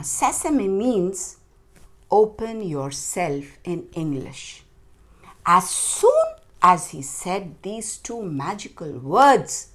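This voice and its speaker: A woman telling a children's story in an expressive, deliberate way, with dramatic phrasing and stressed words. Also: mid-range voice.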